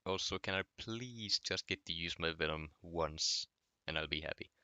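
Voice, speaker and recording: A man's voice: low voice, moderate pace, smooth, dry recording. A young man from Finland with a Finnish accent, chatting casually on a late-night gaming stream while answering his chat. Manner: relaxed, reading chat aloud and answering.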